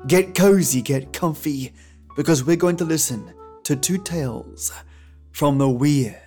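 A man's voice: a little high, unhurried, darkish tone. A man hosting a podcast, giving a scripted, chummy, theatrical introduction to old radio tales. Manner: chummy, theatrical, addresses listeners as mates. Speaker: podcast host. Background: music.

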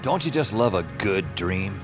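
Wry, swooping low-voiced dreamer. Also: male voice, lively intonation, moderate pace. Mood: amused, dreamy. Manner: wry, conversational, theatrical. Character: wry, boastful male protagonist narrating his wild dream. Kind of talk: first-person noir-comic audio drama narration. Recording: loud music under the voice.

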